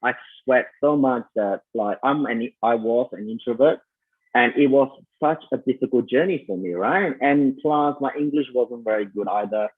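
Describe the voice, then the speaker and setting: A man with a Malaysian accent coaches listeners on selling in a loose, conversational way, sprinkling in fillers and calling them "you guys." His voice is a little husky. The audio comes through thin and narrow, like a phone line. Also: medium pitch, normal speed. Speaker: sales coach. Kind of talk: online summit talk.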